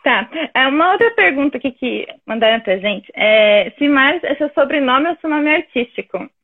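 A woman's voice: high-pitched, lively intonation, moderate pace. A woman hosting a casual live interview over a video call, effusive and encouraging, in muffled, thin audio. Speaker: interview host.

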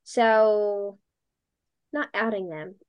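A young woman from Delaware County with a Delco accent, chatting loudly as an interview guest and filling her talk with "like.". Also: medium pitch, drawn-out words, highs cut off. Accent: Delco (Philadelphia area). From Delaware County, Pennsylvania. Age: young adult. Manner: loud, talkative, lots of "like". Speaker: guest, dancer and podcaster. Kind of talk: casual interview chat.

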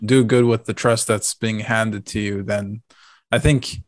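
A man's voice: fairly low pitch, steady tone, a little slow, no room sound, studio quality. A man who sounds like he is in his thirties, chatting casually as a podcast co-host. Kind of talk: casual chat.

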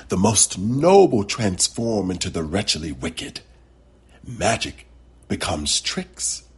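A man voicing a wise, solemn old grandmother who tells mystical stories in slow, emphatic pronouncements. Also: medium pitch, slightly rough, pitched above the performer's own voice, exaggerated voice.

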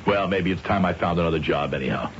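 A man's voice: deep voice, quick pace, slight background noise, lightly acted. An actor playing an anxious, hesitant middle-aged salesman in an old-time radio drama. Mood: uneasy, resigned. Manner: hesitant, deferential with his boss. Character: anxious middle-aged salesman, deferential, uneasy, haunted by memory. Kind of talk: old-time radio drama acting, with narrated inner thoughts. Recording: dull old radio recording, music behind.

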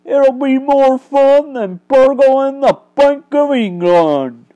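A woman's voice: sits high, takes it slowly, mellow, lo-fi sound, voice pushed up. Barney, a woman doing a bossy gang boss. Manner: barking orders, gleeful. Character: bossy male bank-robber gang leader.

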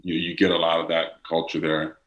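A man's voice: low-pitched, monotone, a little fast, a bit bright, reverberant, dull audio. A man, a native Dutch speaker, talking casually as an actor being interviewed, rambling with many fillers.

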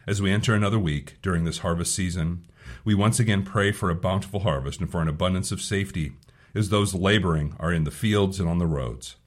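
Hoarse, husky man giving a devotional. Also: low voice, brisk. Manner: earnest, repeats words while thinking. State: hoarse from allergies. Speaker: pastor. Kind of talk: devotional sermon and prayer.

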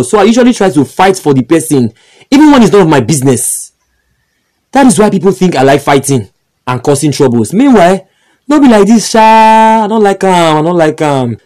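A man's voice: a little high, a little mellow. A man with a Nigerian accent acting an earnest, humble young streetwise hustler in a radio drama. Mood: candid.

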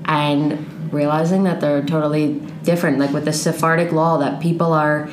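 A young woman with a low voice tells her story in an easy, chatty way, laughing now and then. She is recorded in an echoey room with a faint hiss behind her. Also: flat delivery, normal speed. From Pennsylvania, United States. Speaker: guest. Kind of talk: casual interview.

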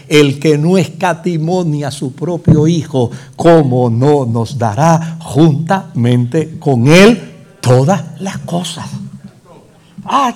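A male preacher with a Puerto Rican accent delivers his sermon at an unhurried, weighty pace. His voice sits somewhat high, and he drives his points with repeated phrases and pointed rhetorical questions that rise to fervent peaks. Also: a little mellow. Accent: Puerto Rican Spanish. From Puerto Rico.

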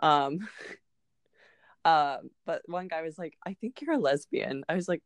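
A woman's voice: low-pitched, animated, measured pace, dry recording. A woman in her early twenties talking casually and openly on a podcast, informal and outspoken, with frequent swearing. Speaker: podcast co-host. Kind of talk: casual, confessional podcast chat.

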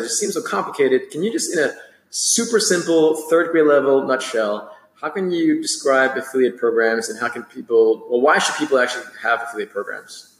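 A man hosting a podcast, enthusiastic and asking long, winding questions. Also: medium pitch. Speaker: podcast host. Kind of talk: podcast interview. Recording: roomy echo.

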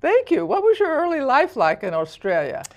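A woman's voice: high voice, huge pitch swings, moderate pace. A woman hosting an interview podcast, introducing her guest with enthusiasm. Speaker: podcast host.

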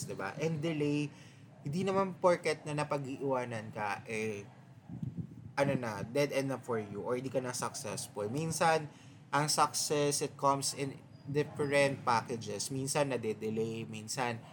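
A man in his twenties with a Filipino accent, hosting a casual reflective podcast and tagging his phrases with quick questions. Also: medium pitch, noisy background. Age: 20s. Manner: chatty, mixes English and Tagalog, ends phrases with "diba?". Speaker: podcast host.

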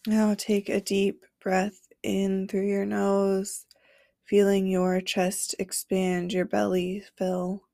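Slow, gravelly-voiced woman guiding meditation. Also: mid-range voice, dark tone, dry recording, clean studio sound. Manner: slow, soothing guidance with long pauses. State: stuffy nose from a cold. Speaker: podcast host and meditation guide. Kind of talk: guided meditation.

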